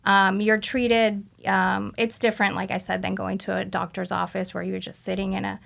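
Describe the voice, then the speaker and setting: A woman in her forties, originally from Argentina and a native Spanish speaker, talks as a guest in a relaxed interview. Her voice sits at a middling pitch with a gravelly fry to it. She pauses often with ums and uhs. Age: 40s. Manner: halting, lots of ums and uhs, light laughs. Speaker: guest, patient. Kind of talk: casual interview chat.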